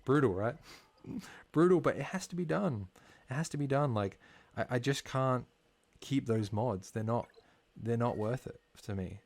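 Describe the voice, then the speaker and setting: A man talking casually while live-streaming a game, slightly hoarse, chatty and full of fillers, from a home streaming setup. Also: mid-range voice, lively intonation, a little slow, darkish tone. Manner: chatty, filler-heavy, talks while playing. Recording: clear background music under voice.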